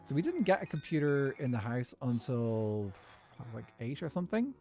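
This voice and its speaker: A man's voice: mid-range voice, big pitch swings, moderate pace, mellow. A young Irish man chatting casually and at length while he plays a game, answering chat as he goes. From Ireland. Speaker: streamer. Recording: faint music in background. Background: faint background music.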